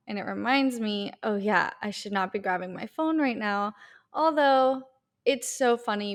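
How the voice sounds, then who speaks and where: A woman co-hosting a casual podcast, upbeat and cheerful with a dry, teasing side. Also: fairly high pitch. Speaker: podcast co-host.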